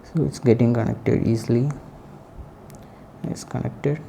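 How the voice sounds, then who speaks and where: A man giving a casual spoken product review in halting short phrases with pauses. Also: mid-range voice, fairly even intonation, creaky, warm tone, slight background noise. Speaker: tech reviewer.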